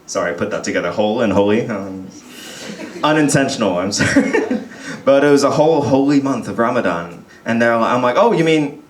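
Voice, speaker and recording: Young male comic from Texas, casual self-mocking patter, mid-pitched voice, echoey pub stage. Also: expressive, brisk, a little hiss or hum. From United States (Texas). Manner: chatty, self-deprecating, often breaks into laughter. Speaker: stand-up comedian. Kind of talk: stand-up comedy set. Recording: roomy pub sound with faint crowd murmur.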